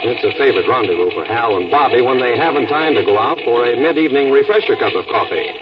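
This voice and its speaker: A man's voice: medium pitch, expressive, quick pace, distant mic. An American man announcing and reading sponsor copy in a scripted 1940s radio style. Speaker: radio announcer and coffee pitchman. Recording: muffled, noisy old radio broadcast.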